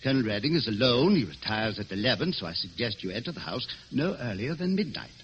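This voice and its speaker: An actor playing an insistent, precise titled gentleman, formal and emphatic, in a radio drama. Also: mid-range voice, very animated, fast. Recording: muffled, slightly hissy old radio recording.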